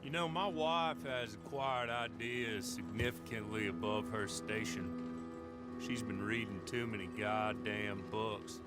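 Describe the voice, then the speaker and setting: An actor playing a sour, grumbling prison guard in a video game, rambling in a gruff, sardonic way. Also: male voice, fairly low pitch, steady tone, a little slow, loud music behind the voice, natural voice. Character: grumbling, sour middle-aged male guard.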